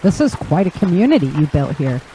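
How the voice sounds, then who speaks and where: A woman's voice: low-pitched, big pitch swings, fairly quick, mellow, slight background noise, low-quality recording. A woman playing a wary, determined young traveler, earnest and firm, in an audio drama.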